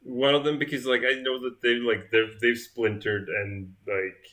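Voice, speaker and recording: A man in his thirties co-hosts a relaxed livestream, his voice bright and mid-pitched. He chimes in with teasing jokes, picked up by a webcam in a living room. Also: moderate pace. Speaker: co-host partner. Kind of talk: casual livestream chat.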